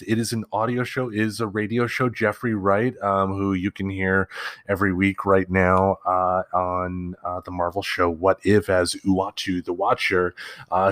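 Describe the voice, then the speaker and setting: A man talking casually as a solo podcast host, in a halting, rambling conversational way. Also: on the low side, a bit bright, no echo, clean studio sound.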